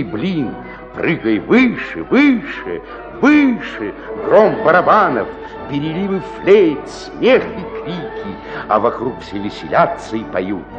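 An actor playing a merry, talkative middle-aged joiner who loves drink, in a theatrical radio-play monologue, savouring his words and chuckling. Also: medium pitch. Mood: exuberant. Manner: savouring, chuckling, rhetorical, swinging from murmurs to exclamations. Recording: dull lo-fi 1960s radio recording.

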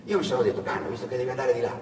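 A man's voice: medium pitch, lively intonation, rapid-fire, close to an everyday voice. A man on stage playing a brash, boastful smuggler and truck driver, mocking and persuasive, in an echoey recording. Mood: persuasive, breezy. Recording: echoey, noisy hall, far from mic.

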